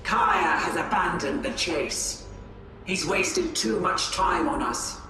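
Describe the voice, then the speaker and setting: An actor playing a calm elf advisor. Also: male voice, high voice, takes it slowly, soft background music, heavily acted. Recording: distant, very echoey.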